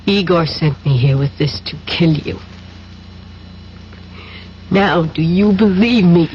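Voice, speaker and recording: A woman's voice: on the low side, slow pace, a little mellow. An actress playing an earnest, insistent woman double agent in a 1970s radio drama. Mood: urgent, pleading. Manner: urgent, insistent. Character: earnest, pleading femme fatale double agent. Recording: muffled, noisy old radio drama recording.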